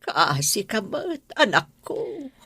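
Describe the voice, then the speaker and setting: Sharp bright female voice, actress as concerned plain-spoken older relative, Ilocano accent, radio drama, dry studio sound. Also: mid-range voice, very animated, takes it slowly, slightly rough, no room sound, full character voice. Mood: sympathetic.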